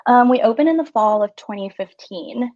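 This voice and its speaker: A woman's voice: a little high, expressive, moderate pace, darkish tone, no room sound. A woman in her thirties from Singapore, a shop owner chatting as a podcast guest in a friendly, easygoing way with lots of "like" and "um". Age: 30s. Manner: chatty and friendly, frequent "like" and "um", laughing easily. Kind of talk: casual interview conversation. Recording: slightly muffled dry audio.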